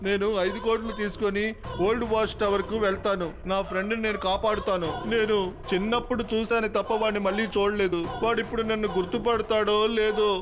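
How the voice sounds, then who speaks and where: A man voicing an excitable, naive old grandfather in a cartoon dub, flustered and repeating his words. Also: sits high, quick pace, lightly acted. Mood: anxious, determined. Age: older. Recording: loud music underneath.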